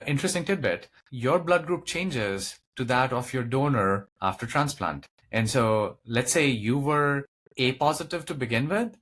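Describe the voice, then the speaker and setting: A man's voice: medium pitch, expressive, unhurried, fairly bright tone. Male medical expert explaining plainly. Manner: explains in plain lay terms. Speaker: expert guest, transplant physician. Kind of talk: explanatory interview answers.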